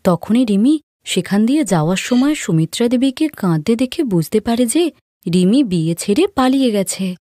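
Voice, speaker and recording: A woman's voice: a little high, animated, normal speed, mellow, dry recording, clean studio sound. A woman narrating a scripted story as the storyteller.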